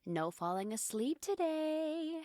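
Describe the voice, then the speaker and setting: A woman's voice: high-pitched, big pitch swings, slow, dark tone, no echo, studio quality, exaggerated voice. A woman voicing a smirking, easily flustered teenage schoolgirl in an amateur visual novel fandub. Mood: teasing.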